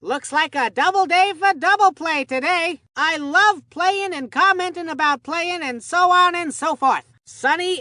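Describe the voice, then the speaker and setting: A cheerful, forgetful old grandpa calling baseball in a kids' cartoon game. The voice is high and piercingly bright, recorded very dry and close. He rambles in a folksy, excitable way. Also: no echo, full character voice. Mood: chatty, cheerful. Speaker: sports commentator in a children's baseball game.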